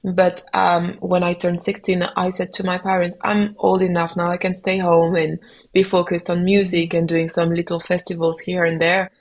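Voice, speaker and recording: Soft-toned reflective French-speaking woman. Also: lowish voice, completely flat delivery, moderate pace. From Belgium. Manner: reflective, searching for words with frequent ums. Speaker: musician guest. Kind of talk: podcast interview answers.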